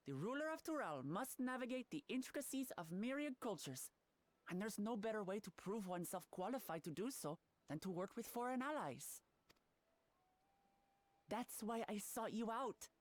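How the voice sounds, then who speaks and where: A woman's voice: mid-range voice, dry recording, lightly acted. A woman voicing a spirited young princess in a video game, speaking in an earnest, resolute, plain-spoken way. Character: earnest, spirited young princess seeking her father's throne. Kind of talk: video game cutscene acting.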